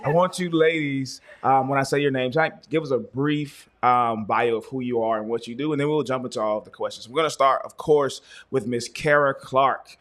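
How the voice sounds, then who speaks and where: A man's voice: medium pitch, a little fast, hardly any pauses, a bit bright. Casual, joking male panel host. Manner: informal, joking, chatty. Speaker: host of a live health panel. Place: inside a wellness center.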